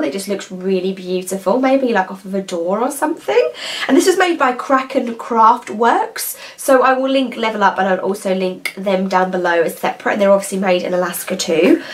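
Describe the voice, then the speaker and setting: A young woman's voice at an ordinary middle pitch, chatting eagerly to camera as a beauty vlogger. She rambles a little, trails off and restarts her thoughts, and keeps an easy, friendly flow. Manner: chatty, enthusiastic, rambling with hesitations. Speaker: nail polish vlogger. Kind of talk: casual chat to camera.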